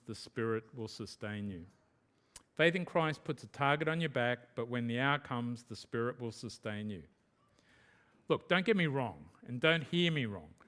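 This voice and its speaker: A man with an Australian accent preaching an expository sermon as pastor, earnest and emphatic, repeating his key points slowly for the congregation. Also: medium pitch, fairly bright tone, clear, resonant tone.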